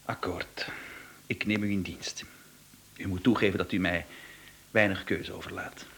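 A man's voice: deep voice, steady tone, unhurried, a bit bright, a little hiss or hum. An actor playing an overworked, agitated businessman and suspicious husband in a radio play. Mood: grim, restrained.

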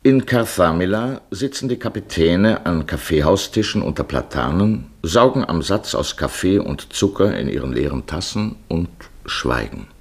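Deep-voiced, expressive male radio play narrator. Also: moderate pace, few pauses, husky. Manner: descriptive, list-like scene-painting.

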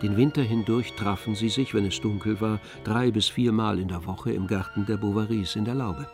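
A man playing the composed, observant narrator of a literary radio drama, with measured delivery. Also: lowish voice, steady tone, music in the background, lightly acted.

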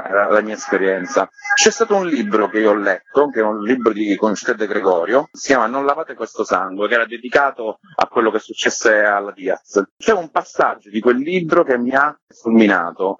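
A man's voice: on the low side, a bit bright, dull audio. A man speaking in an earnest, explanatory way, as a theatre maker being interviewed. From Sicily, Italy. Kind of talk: thoughtful interview talk.

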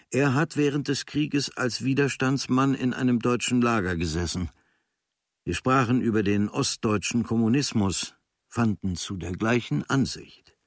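An actor reading as an observant writer recounting travels from a diary, in clipped, dryly observant phrases with a husky voice. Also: male voice, medium pitch, no room sound, clean studio sound.

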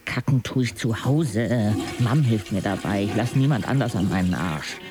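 An actor playing a frank, brooding schoolboy who narrates a radio drama in a dry, confiding way. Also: male voice, on the low side, expressive, moderate pace, close to an everyday voice. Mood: frank, embarrassed. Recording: studio voice with music behind.

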